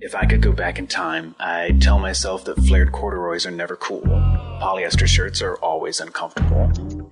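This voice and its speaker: A man's voice: sits low, vocal fry. A man, a guest musician, delivering a comic musical monologue over guitar.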